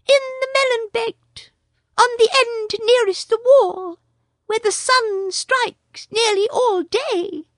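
A woman's voice: very high-pitched, slow, a bit bright, no echo, voice pushed up, exaggerated voice. A woman voicing a small, boastful male tailorbird who sings his praise in a sing-song voice.

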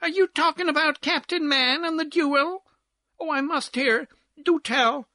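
A man voicing a shrill, meddling society miss in a storybook reading, bawling out with excited insistence. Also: unhurried, no echo, heavily acted. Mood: eager, nosy. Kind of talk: theatrical storybook character voice.

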